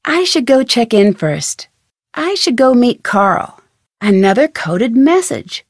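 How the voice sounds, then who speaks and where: A woman playing a curious, determined young detective in a video game, thinking aloud in crisp speech with an American accent. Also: a little high, sing-song, slow pace, no echo, clean studio sound, exaggerated voice. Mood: curious, focused.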